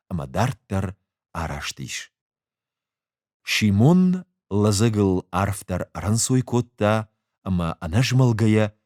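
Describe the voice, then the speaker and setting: A man with an Ossetian accent reads a story as an audiobook, measured and expressive in his delivery. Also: low-pitched, dry recording, clean studio sound. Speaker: actor reading a short story. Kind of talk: audiobook narration with acted dialogue.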